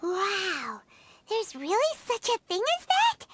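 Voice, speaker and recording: A woman voicing a small, childlike, curious fairy companion in a video game, with a squeaky, bubbly, inquisitive delivery. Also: wildly swooping pitch, takes it slowly, noisy background, full character voice. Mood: excited, curious. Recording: loud background music.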